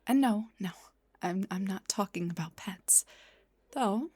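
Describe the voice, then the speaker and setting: A woman playing a gentle, refined vampire doctor in a soft-spoken roleplay, breathy and whispery, with a polite, slightly hesitant manner. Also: mid-range voice, a little slow, no echo. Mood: soothing, caring.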